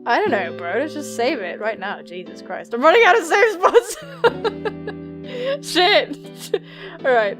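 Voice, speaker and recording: A young woman hosting a gaming video with playful, animated, cheeky commentary. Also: sits high, unhurried, long pauses. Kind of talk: playful let's-play commentary. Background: music.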